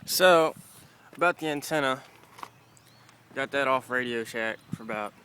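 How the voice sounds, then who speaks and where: Male amateur vlogger, mid-pitched and unhurried, informal and unscripted, handheld car recording with slight noise. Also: sharp tone. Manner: informal, off-the-cuff, mild swearing. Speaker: amateur vlogger showing a car radio install. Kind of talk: casual handheld walkthrough. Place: in and around a parked car.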